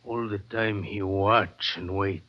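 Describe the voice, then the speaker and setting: An actor playing a superstitious, fearful Venezuelan guide in a radio drama, speaking halting broken English with a Spanish accent. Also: male voice, low-pitched, fairly even intonation. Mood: fearful warning. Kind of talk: 1940s radio drama acting. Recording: dull vintage radio recording with loud music.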